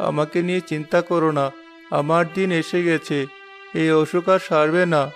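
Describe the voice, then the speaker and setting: Guy doing a sick village dad in a cartoon, given up, very monotone, faint music under him. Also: a little high, moderate pace, lightly acted. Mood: resigned. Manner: resigned, subdued. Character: ailing, resigned village husband and father. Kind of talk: Bengali fairy-tale cartoon voice acting.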